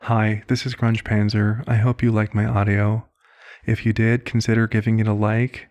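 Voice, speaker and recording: A man's voice: fairly low pitch, little pitch movement, normal speed, darkish tone. A man who makes ASMR audio, talking casually to listeners in a sign-off. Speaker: ASMR channel creator.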